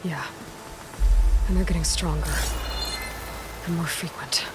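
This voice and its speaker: An actress playing a wary, resolute young outcast huntress in a video game, speaking tersely and watchfully, often as if thinking aloud. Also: female voice, low voice, fairly even intonation, unhurried. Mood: serious, resolute. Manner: terse, watchful, often muttering thoughts to herself. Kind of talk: naturalistic video game acting. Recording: game soundtrack music behind the voice.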